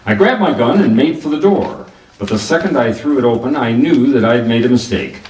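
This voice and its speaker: A dry, sardonic private eye from a radio play, acted by a man. His pitch moves around in a lively way as he delivers colloquial wisecracks, heard from a distance in a roomy space. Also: brisk, slight background noise, lightly acted. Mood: wry narrating. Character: wry, wisecracking male private detective. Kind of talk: hardboiled radio drama narration and dialogue.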